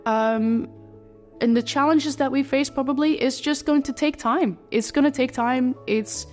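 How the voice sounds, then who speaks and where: A young Dutch woman with a Dutch accent, answering interview questions in a casual way, talking quickly and tripping over her words with many restarts. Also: high voice, darkish tone, in a large hall, faint music behind. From Netherlands. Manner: fast talker who trips over words, many restarts and fillers. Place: living-room set with lamp and window, indoors.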